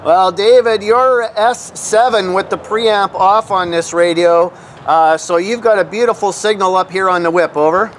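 A middle-aged man with a slightly high voice talks casually, as in an amateur radio vlog. He is recorded outdoors on a camera microphone, with clear background noise around him. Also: moderate pace. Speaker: vlogger and amateur radio operator. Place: outdoors in a grassy backyard.

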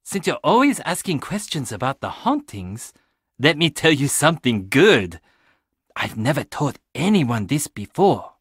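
Young handyman, video game character, playful, puzzle lover, animated intonation, dry close sound. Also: medium pitch, unhurried, husky, dry recording, full character voice. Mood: casual, friendly. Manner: laid-back, playful. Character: casual, teasing young handyman who loves puzzles.

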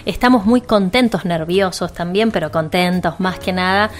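A woman's voice: on the low side, moderate pace. A woman with an Argentine accent co-hosting a radio magazine show, moving between announcements and talk. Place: radio studio.

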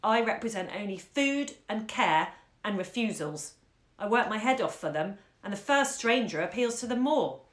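A woman doing a tired young mother, thinking aloud in a wry, sorrowful way with a lively rise and fall. The voice carries a roomy echo. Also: medium pitch, moderate pace, lightly acted. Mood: rueful. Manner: wry, sorrowful inner musing. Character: weary rueful young mother of three.

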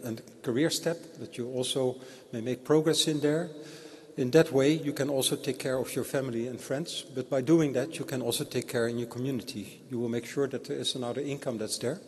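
A man giving a ceremonial speech and introducing a guest speaker, measured and hesitant, with frequent fillers. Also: mid-range voice, a little mellow. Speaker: institute representative introducing speaker. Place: large old church. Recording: long church reverb.

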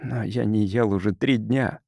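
A man's voice: lowish voice, unhurried, creaky, darkish tone, no room sound, studio quality. A man acting a desperate, bitter unemployed man. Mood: pleading. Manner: pleading, bitter. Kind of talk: audiobook character dialogue.

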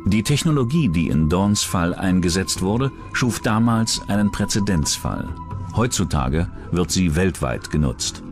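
A man's voice: deep voice, lively intonation, measured pace. A man reading scripted true-crime documentary narration as the narrator. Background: background music.

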